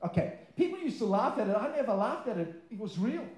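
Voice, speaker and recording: A male pastor, a second-language English speaker, preaching emphatically with stretched key words, quick informal asides and rhetorical questions. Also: high-pitched, sing-song. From born abroad, moved to Australia aged nine. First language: not English; English is a second language. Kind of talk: sermon to a live congregation. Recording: roomy, echoing live sermon sound.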